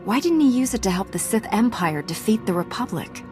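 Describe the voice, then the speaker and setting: An actress playing a calm, earnest female Jedi warrior who speaks steadily and directly in a sci-fi video game. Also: fairly high pitch, brisk, over music, lightly acted. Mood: questioning.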